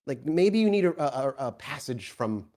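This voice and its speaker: A man voicing an earnest, smiling young male church caroler in a tabletop game, polite, halting and pushy in his friendliness. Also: mid-range voice, big pitch swings, mellow, voice pushed up, natural voice. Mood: earnest, friendly.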